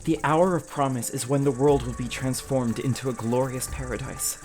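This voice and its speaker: A man's voice: medium pitch, fairly even intonation, normal speed, darkish tone, lightly acted. A man voicing a resolute, defiant woman vizier in a fantasy audio drama, earnest and urgent. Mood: challenging, urgent.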